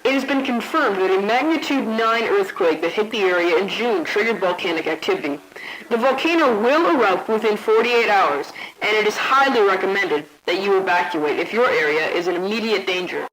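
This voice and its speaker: A woman's voice: mid-range voice, expressive, fairly quick, fairly bright tone, low-quality recording. A woman announcer reading a scripted emergency warning.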